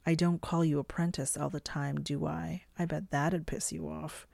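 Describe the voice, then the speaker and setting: Narrator playing young fairy apprentice woman, firm and sarcastic, bit of fry, dry close recording, audiobook. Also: mid-range voice, a little slow, dry recording, lightly acted. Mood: calm defiance. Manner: steady, quiet, firm. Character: calm, defiant, sarcastic young female fairy apprentice weaver.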